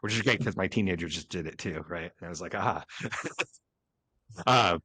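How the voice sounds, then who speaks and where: Lowish-voiced host who keeps saying "right?". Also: male voice, moderate pace, pushed and bright, slightly rough. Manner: keeps adding "right?" as a tag. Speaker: podcast host. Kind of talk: casual interview chat.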